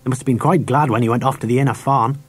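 A man's voice: mid-range voice, steady tone, very fast, husky, lightly acted. A man voicing a talkative, opinionated middle-aged schoolmaster in an audiobook, with an emphatic teacherly manner. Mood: chatty opinionated.

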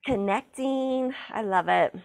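A woman's voice: on the high side, slow, vocal fry, poor audio. A woman co-hosting a casual spiritual chat, rambling and full of fillers and laughter. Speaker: co-host.

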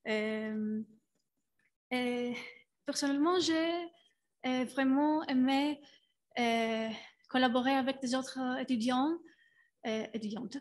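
Slow, halting female student. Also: high-pitched. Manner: halting, many filler pauses. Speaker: university student. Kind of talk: hesitant prepared remarks.

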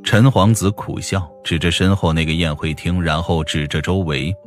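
Deep-voiced male audiobook narrator. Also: steady tone, normal speed, soft background music. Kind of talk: scripted story narration.

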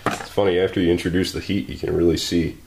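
A man's voice: low-pitched, level tone, fast talker, gravelly, background noise. A man hosting a maker channel, chatting and joking casually as he works in his garage workshop. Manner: joking, exclaiming and thinking aloud while working.

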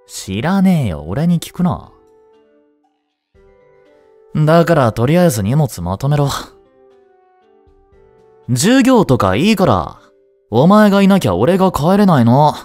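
A man acting a cheeky, laid-back high-school slacker in a romantic audio drama, with casual, teasing, blunt slang. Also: a little mellow, no echo.

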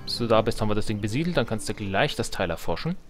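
A man's voice: on the low side, expressive, fast talker, soft background music. A man giving casual, jokey gameplay commentary, slangy and often talking to himself and to his game units. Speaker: let's player.

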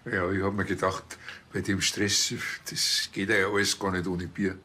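A man's voice: sits low, little pitch movement, normal speed, pushed and bright, slightly rough, heavily acted. An actor playing a laid-back, wily village policeman with a casual, folksy Bavarian accent. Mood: affable. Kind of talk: television crime comedy acting. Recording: faint background noise.

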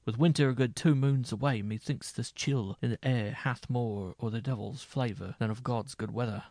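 A man's voice: mid-range voice, moderate pace, a little mellow, no room sound, clean studio sound, natural voice. A man voicing a boisterous, boastful medieval minstrel in a storybook tale, with old-fashioned oaths. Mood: grumbling. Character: boisterous bearded medieval minstrel, mocking braggart, later terrified.

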